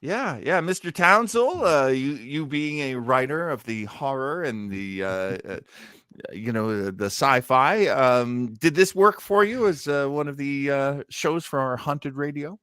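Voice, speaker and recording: A man's voice: medium pitch, animated, a little slow, a bit bright, smooth, dry recording, clean studio sound. A man hosting a show in casual, chatty commentary. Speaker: host of an old-time radio show podcast.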